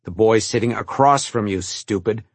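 A man doing a gruff blunt father. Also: lowish voice, moderate pace, no echo, close to an everyday voice.

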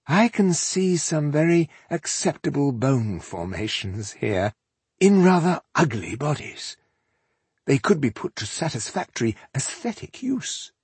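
A man's voice: fairly high pitch, very animated, a little slow, darkish tone, slightly rough, dry recording, pitched above the performer's own voice, full character voice. A man voicing an arrogant, sadistic elf warrior in a fantasy audiobook, grandiose and mocking in a theatrical way. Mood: grandiose relish.

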